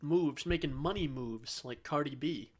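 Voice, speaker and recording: A man's voice: fairly high pitch, unhurried. A man co-hosting a podcast in casual chat, rambling and sarcastic, with an occasional stutter. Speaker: podcast co-host.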